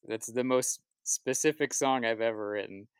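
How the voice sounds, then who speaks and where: A man talking casually as an interview guest, a musician telling stories in a halting, reflective way and often repeating his words as he goes. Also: medium pitch, clear, resonant tone, no echo. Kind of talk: casual interview answers, storytelling.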